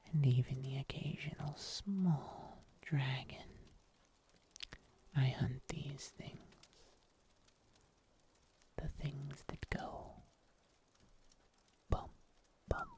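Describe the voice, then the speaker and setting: A performer voicing a calm, world-weary werewolf huntsman in a breathy, hushed whisper for a fantasy ASMR roleplay, deliberate and full of pauses. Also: mid-range voice. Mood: ominous. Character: world-weary pink-furred werewolf huntsman, monster slayer, calm loner.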